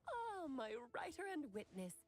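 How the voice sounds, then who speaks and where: A woman's voice: sits high, huge pitch swings, normal speed, music in the background. A woman playing a graceful, fond and mysterious theatrical lady in a video game. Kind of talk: video game character acting.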